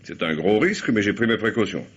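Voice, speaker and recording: A low, rough-edged male gangster in a radio thriller. He talks in blunt slang, bossy and distrustful, on a dull old broadcast recording. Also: expressive, brisk. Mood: suspicious, wry. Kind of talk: French radio crime drama acting.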